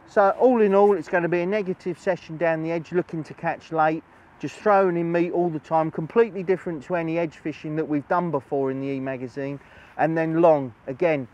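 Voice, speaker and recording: Male angling presenter, middle-aged, slightly high voice, chatty how-to delivery recorded outdoors. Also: muffled recording. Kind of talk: instructional fishing demonstration, chatty. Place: outdoors on a lakeside bank.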